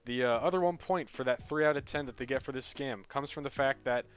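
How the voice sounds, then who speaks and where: A man's voice: medium pitch, quick pace, clean tone. A young American man giving a casual, sarcastic, profane comedic rant as a video creator. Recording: voice over background music.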